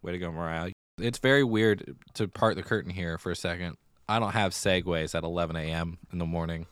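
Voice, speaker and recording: An American man with a low, smooth voice talks casually as a podcast co-host. His delivery is wry, and the close recording has very little room sound. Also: brisk, no room sound. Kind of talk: casual theatre news chat.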